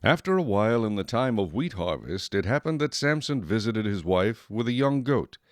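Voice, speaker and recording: A man's voice: lowish voice, sing-song, normal speed, no room sound, studio quality. A man narrating scripture as a scripted audio drama narrator.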